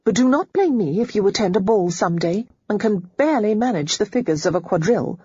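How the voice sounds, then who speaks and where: A woman's voice: mid-range voice, animated, brisk, dark tone, husky, dry recording, lo-fi sound, pitched above the performer's own voice. A woman voicing a prim, proper young lady who patiently corrects others, lifting her voice above her own.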